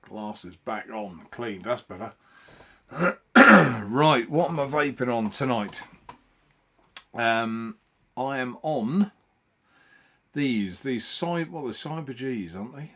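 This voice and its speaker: A man's voice: mid-range voice, bright, forceful tone, husky. A man hosting a casual live stream from home, chatting in a rambling way and greeting viewers by name.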